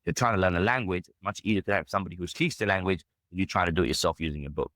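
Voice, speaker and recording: British guy on a podcast, deep voice, talks quick, casual n emphatic, keeps dropping you knows. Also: fairly bright tone, dry recording. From United Kingdom. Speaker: podcast guest. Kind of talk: casual personal storytelling.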